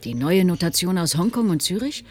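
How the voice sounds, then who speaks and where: Ironic society woman for radio play, lower register, animated pitch, teasing and breathy. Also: fairly quick. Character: mocking, worldly younger woman dining with a rich suitor. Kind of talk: German radio play acting.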